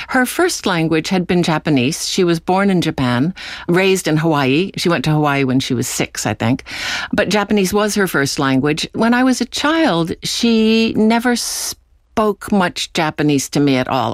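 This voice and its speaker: Low-voiced american lady in her 60s, talking it through slow and thoughtful, dry close sound. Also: no room sound. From United States. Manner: thoughtful, measured, with fillers. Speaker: interviewee, author. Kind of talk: reflective interview answers.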